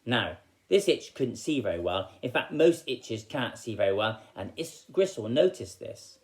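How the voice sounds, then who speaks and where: A man reading aloud as a storyteller for children, in an expressive style. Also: medium pitch, unhurried.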